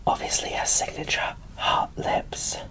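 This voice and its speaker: Slow whispering woman, ASMR doll unboxing style. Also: fairly bright tone, poor audio. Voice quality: breathy whisper. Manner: unhurried, gushing, informal. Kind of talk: whispered ASMR, casual chatty commentary.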